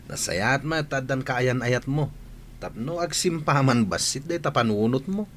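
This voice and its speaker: A man's voice: medium pitch, animated, moderate pace, a bit bright, a little hiss or hum, close to an everyday voice. A man playing a joking, nudging best buddy in an Ilocano radio comedy drama, with an Ilocano accent. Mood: teasing, advising.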